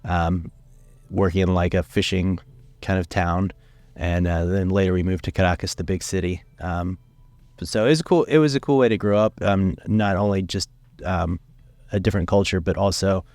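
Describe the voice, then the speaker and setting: Deep-voiced man in a relaxed interview. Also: moderate pace, no echo. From United States, raised in Venezuela. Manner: relaxed, rambling, many fillers and restarts. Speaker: filmmaker guest. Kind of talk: casual interview talk. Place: studio.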